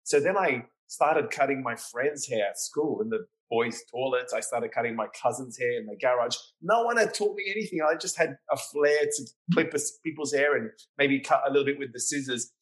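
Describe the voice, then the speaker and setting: A man's voice: mid-range voice, fairly quick, fairly bright tone, low-quality recording. A man with an Australian accent, a hairdresser guest telling stories in a casual interview, often adding "you know".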